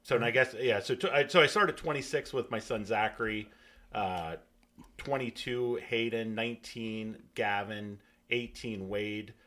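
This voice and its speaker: A middle-aged man from Pennsylvania, a podcast guest talking in a friendly, rambling way with many pauses. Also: on the low side, sharp tone. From Pennsylvania, USA. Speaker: guest, hotel owner. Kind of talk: casual interview talk. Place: podcast studio.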